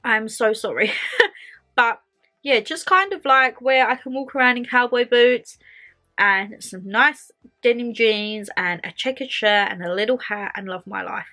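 A young woman talks in a bright, fairly high voice, bubbly and animated. She chats casually to camera in a bedroom while getting over a cold, with faint music behind her. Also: a little slow. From England. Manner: bubbly and chatty, expressive hand gestures. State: lingering cold. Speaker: vlogger. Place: bedroom, indoors.

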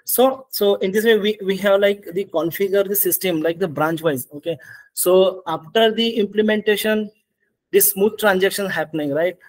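A man with an Indian accent walking through software slides as a webinar presenter, in halting, repetitive phrasing. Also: high-pitched, rough recording. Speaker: webinar presenter, ERP consultant. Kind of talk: software demonstration and slide walkthrough. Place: indoor room, on a video call.

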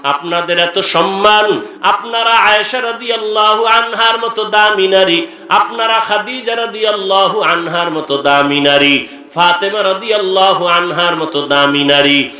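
A man's voice: high-pitched, sharp tone. A man preaching an Islamic sermon with emotional appeals, stretching his calls into melodic chant. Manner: emotional exhortation, direct appeals to listeners, drawn-out melodic calls. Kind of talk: fervent religious sermon, partly chanted. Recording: echoing amplified sermon sound.

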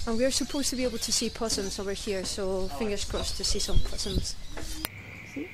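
A woman's voice: mid-range voice, a little fast. A woman in her thirties, an English speaker, chatting to a handheld camera at arm's length while travelling outdoors. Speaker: travel vlogger. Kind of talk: casual travel vlog. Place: outdoors and in a car on a road trip. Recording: noisy outdoor camera audio.

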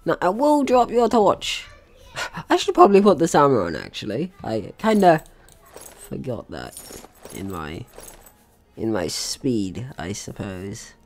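A man's voice: mid-range voice, wildly swooping pitch, slow pace. A man doing casual let's play commentary, playfully thinking aloud and exclaiming as he goes. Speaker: gaming video host.

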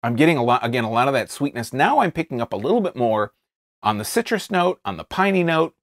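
An American man in his thirties giving a casual, unscripted review to camera, halting and rephrasing as he describes things. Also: medium pitch, animated, no room sound, clean studio sound. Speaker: beer reviewer, video host. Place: small indoor studio, seated at a table.

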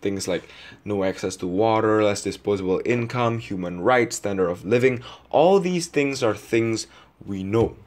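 A man from Indonesia speaks in a fairly low voice, as in a personal video essay. He thinks aloud in a reflective way, placing deliberate pauses and repeating phrases for emphasis.

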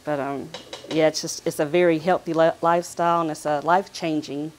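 A woman's voice: sits low, fairly even intonation, fairly quick, a little mellow. A middle-aged American woman chatting casually as a guest cook in a kitchen. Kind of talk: casual chat. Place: firehouse kitchen, indoors. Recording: faint kitchen noise, sizzling.

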